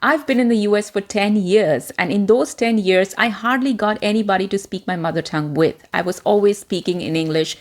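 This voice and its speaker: Woman from india w/ an american-tinged accent, former media presenter, steady clear delivery. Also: medium pitch, fairly quick, hardly any pauses. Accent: transitional, American-influenced. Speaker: host, self-help presenter. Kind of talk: scripted talk to camera.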